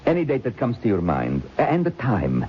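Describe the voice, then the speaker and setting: An actor playing a vengeful, pompous occult author in an old-time radio drama, with theatrical delivery and murmured asides. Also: male voice, medium pitch, wildly swooping pitch, a little fast. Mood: sly, menacing. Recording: muffled, noisy old radio drama recording.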